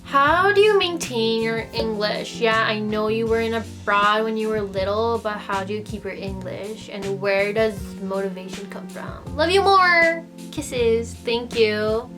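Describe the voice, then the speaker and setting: A woman's voice: a little high, unhurried, hardly any pauses. A young woman with an American accent chats casually and informally, thinking aloud as she answers viewers' questions in a vlog. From United States and Japan. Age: young adult. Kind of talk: casual Q&A chat to camera. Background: background music.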